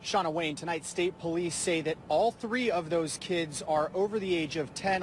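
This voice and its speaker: A man's voice: on the high side, slight background noise. A man as a field reporter, delivering a live, scripted news report from an outdoor location.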